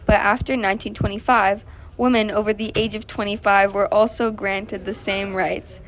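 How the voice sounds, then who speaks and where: A woman's voice: mid-range voice, little pitch movement, moderate pace, a little hiss or hum, close to an everyday voice, rough recording. A woman playing an earnest suffragist guest on a 1920s radio show, explaining things from a script. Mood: earnest, pleased. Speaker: guest activist.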